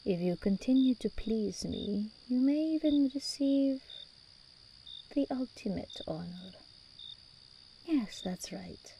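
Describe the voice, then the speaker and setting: Woman playing a slow, mellow, seductive demon princess. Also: fairly high pitch, animated, vocal fry, slight background noise, full character voice, rough recording. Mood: seductive, possessive. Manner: regal, teasing, unhurried delivery with endearments. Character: seductive, imperious female demon princess, doting and possessive. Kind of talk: ASMR roleplay monologue.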